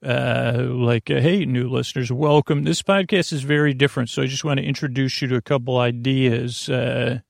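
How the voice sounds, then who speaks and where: A man hosting a sleep podcast in a creaky voice, meandering and halting through mumbled word fragments and tangents to lull listeners to sleep. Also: medium pitch, fairly even intonation, dry recording, studio quality. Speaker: podcast host. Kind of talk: rambling bedtime monologue meant to lull listeners to sleep.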